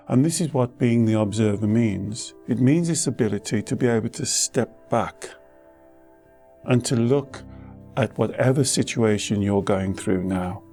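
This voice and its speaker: A man speaking as a meditation teacher, giving gentle guided instruction in an unhurried, reassuring manner with pauses between short phrases. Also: lowish voice, gravelly. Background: soft instrumental music.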